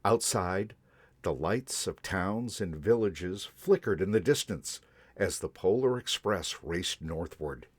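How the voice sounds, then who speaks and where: Man storyteller for kids, gentle read-aloud, low pitch, very slow pace. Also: husky, dry recording. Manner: gentle, unhurried, expressive reading. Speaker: storyteller in a Santa persona.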